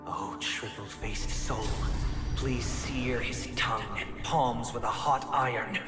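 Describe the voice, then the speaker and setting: An actor playing a stern, immaculate, devout authority figure in a video game, speaking in a formal, measured, coldly courteous way. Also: male voice, medium pitch, natural voice. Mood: solemn, commanding. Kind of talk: dramatic video game voice acting. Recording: echoey hall reverb with music behind.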